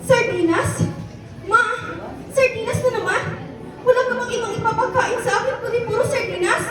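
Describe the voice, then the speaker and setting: A woman's voice: extremely high pitch, moderate pace, crowd noise, noisy background. A woman playing a spoiled, ungrateful eighteen-year-old daughter, whining and demanding, in an amateur radio drama. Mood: scornful. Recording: echoey distant recording with music behind.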